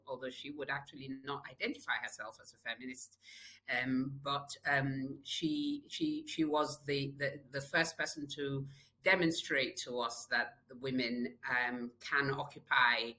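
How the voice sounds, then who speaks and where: A woman in her fifties who grew up in Nigeria, answering interview questions in an unhurried, thoughtful storytelling way with small restarts. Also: extremely low pitch, sharp tone, low-quality recording. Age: 50s. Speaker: guest, global health leader.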